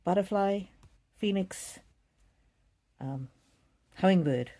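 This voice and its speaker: A woman hosting a live psychic card reading, chatting casually and reading out comments in a gentle, musing, hesitant way with soft repetitions. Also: medium pitch, slow pace.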